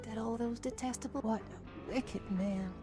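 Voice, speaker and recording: A woman performs a gossipy, scandalized townswoman for a western visual novel. Her voice is fairly high. Music plays clearly behind her. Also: moderate pace, natural voice. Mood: shocked disapproval.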